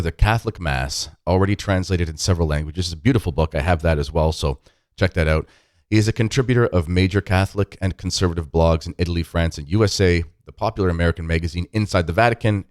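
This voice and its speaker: A man's voice: deep voice, a little mellow, no room sound, clean studio sound. A man hosting an interview podcast, talking in a friendly, rambling way with many fillers. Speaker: podcast host.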